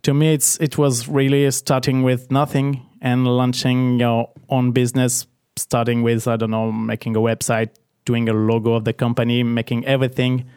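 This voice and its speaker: A man's voice: mid-range voice, flat delivery, normal speed, hardly any pauses, darkish tone, clear, resonant tone, dry recording, clean studio sound. A man in his thirties with a French accent, a native French speaker, talking as an interview guest and hesitating, restarting and repeating words. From Paris, France. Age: 30s. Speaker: guest, business founder. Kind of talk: casual interview.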